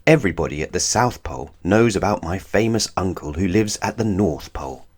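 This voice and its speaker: Animated man reading a children's storybook. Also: sits low, fairly quick, slightly rough. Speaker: storybook reader. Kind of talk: expressive scripted children's story narration.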